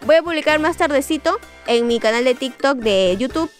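A woman's voice: high-pitched, expressive, moderate pace. A woman hosting a gossip show, narrating in a chatty, teasing way. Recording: voice-over with light background music.

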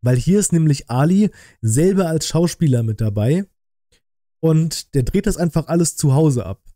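Guy in his 30s doing chatty reaction commentary, really dark mellow voice, super dry sound, slangy. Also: a little high, animated, normal speed, no echo. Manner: colloquial, slangy. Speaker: reaction video host. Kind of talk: casual live reaction commentary.

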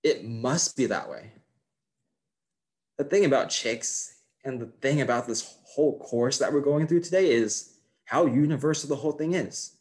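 A man's voice: medium pitch, moderate pace, darkish tone, lightly acted. A man playing a brash, cocky seminar guru in a monologue, preaching in emphatic, punchy short phrases. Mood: smug, emphatic. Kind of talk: motivational seminar monologue, stage acting.

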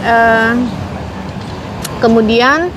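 A woman's voice: a little high, drawn-out words. Chatty Indonesian woman vlogging outdoors. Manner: chatty, answers viewer comments with many fillers. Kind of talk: casual chat to viewers, reading comments. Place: outdoors on a city street. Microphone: clip-on lapel mic. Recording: outdoor street noise behind.

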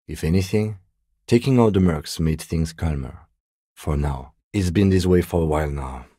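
A middle-aged male police lieutenant for a video game, with a low, husky voice recorded close and dry. He is dignified and restrained, choosing words precisely and keeping a deadpan calm. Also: no room sound. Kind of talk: video game character dialogue.

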